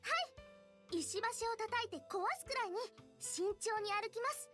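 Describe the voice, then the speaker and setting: A woman's voice: very high voice, a little fast, a bit bright, soft background music. A woman acting young female characters in a Japanese visual novel. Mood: flustered, apologetic.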